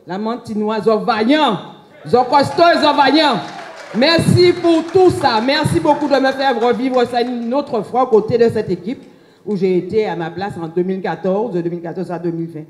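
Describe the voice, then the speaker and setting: High-pitched fervent man. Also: normal speed. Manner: fervent, repeats praise. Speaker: former council member. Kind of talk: emotional thank-you speech. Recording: echoing hall, voice from afar.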